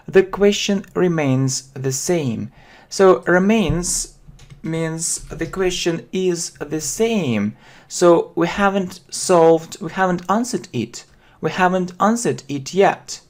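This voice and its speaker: A man in his thirties with a Russian accent, teaching English slowly from a small room, stopping often to repeat and define phrases with plenty of fillers. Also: fairly high pitch. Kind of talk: slow explanatory lesson.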